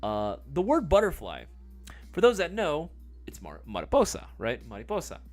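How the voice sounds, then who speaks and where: Lively rambling male stream host. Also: fairly high pitch, moderate pace, soft background music. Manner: rambling, filler-heavy, swears casually. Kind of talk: casual live stream chat, reading viewer comments.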